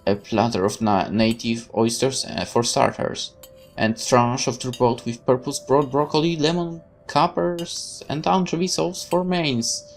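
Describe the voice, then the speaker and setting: A woman's voice: extremely low pitch, steady tone, unhurried, faint music behind. A woman hosting a let's play, reading visual novel narration aloud and adding her own asides.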